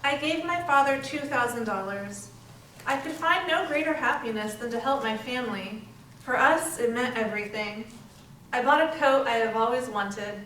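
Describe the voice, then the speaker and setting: A woman's voice: fairly high pitch, moderate pace, a bit bright, natural voice. A woman playing an ailing young working woman, stoic and hopeful, in staged readers theater. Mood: grateful. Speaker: community theatre performer. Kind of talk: readers theater dramatic narration. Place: library readers theater performance, indoors. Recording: echoey hall, distant, faint hiss.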